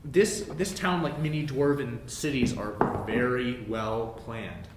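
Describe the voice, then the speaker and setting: A man running a tabletop game as game master, narrating in a casual, informal and self-deprecating way. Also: slow. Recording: roomy echo, low rumbling background noise.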